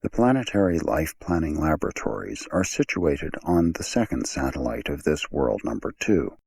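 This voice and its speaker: A man reading an audiobook as a scripted narration, in a measured, formal manner. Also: very deep voice, sing-song, vocal fry, a little mellow, husky, no room sound.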